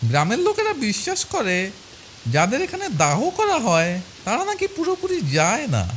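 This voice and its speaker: An actor voicing uneasy young friends in dramatised dialogue for an animated horror story. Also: mid-range voice, moderate pace, a little hiss or hum. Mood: hushed, eerie. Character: two young male friends, frightened and uneasy.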